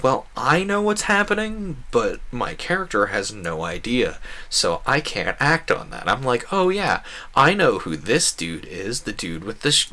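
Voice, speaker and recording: A man's voice: mid-range voice, bright, forceful tone. A man chatting casually as he streams a game, going off on rambling, enthusiastic tangents. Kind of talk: casual chat while playing a game.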